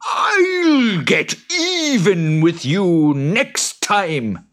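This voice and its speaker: A man voicing a furious, shrieking male boggart from a storybook, with drawn-out yells. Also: sits high, sing-song, voice pushed up, full character voice.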